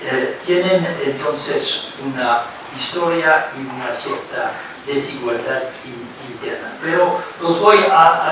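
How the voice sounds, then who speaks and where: Halting older man lecturing in an echoey hall. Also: mid-range voice, noisy background. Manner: halting, many fillers and repeated words. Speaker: guest lecturer. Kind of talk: academic lecture. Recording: echoey hall, recorded from far off.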